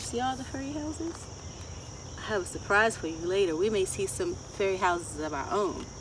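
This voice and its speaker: A middle-aged woman reading a picture book aloud to children, expressive and deliberate, often putting questions to her listeners. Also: mid-range voice. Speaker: children's storyteller. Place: outdoors in a wooded garden. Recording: outdoor, distant mic, ambient insect noise. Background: insects and outdoor ambience.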